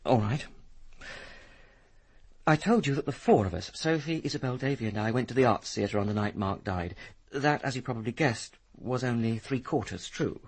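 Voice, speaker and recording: An actor playing a sardonic, impatient upper-class young Englishman in a British radio drama, with a gravelly, husky voice. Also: mid-range voice, expressive, moderate pace. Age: young adult. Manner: sardonic, articulate, dismissive.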